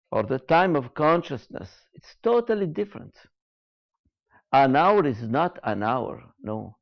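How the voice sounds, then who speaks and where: A man giving a reflective spoken lecture, slow and deliberate, repeating key phrases with frequent hesitations. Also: mid-range voice, dull audio. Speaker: speaker giving a talk.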